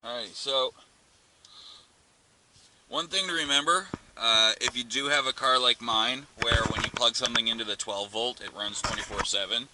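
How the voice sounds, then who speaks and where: A man's voice: medium pitch, normal speed. A man hosting a do-it-yourself vlog, explaining a hands-on job in an informal, offhand way with some crude slang. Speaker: vlogger, DIY car channel host. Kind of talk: casual hands-on how-to narration. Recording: noisy amateur camera audio with clicks.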